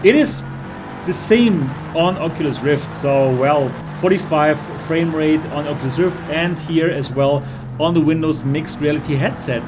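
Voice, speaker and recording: A man's voice: fairly high pitch, background noise. Chatty German-accented man. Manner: chatty, explanatory, enthusiastic about cars. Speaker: VR reviewer. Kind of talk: casual gameplay commentary.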